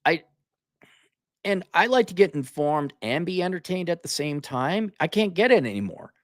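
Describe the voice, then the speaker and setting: A man's voice: on the high side, big pitch swings, moderate pace, dry recording, studio quality. A man hosting an off-the-cuff opinionated online commentary, speaking in an exasperated, sarcastic, colloquial way. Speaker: online commentary host answering viewer comments. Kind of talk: off-the-cuff opinionated livestream rant.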